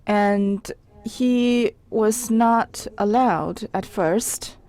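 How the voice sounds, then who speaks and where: Slow, mellow woman explaining haltingly. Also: on the high side. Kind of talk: spontaneous explanatory storytelling commentary.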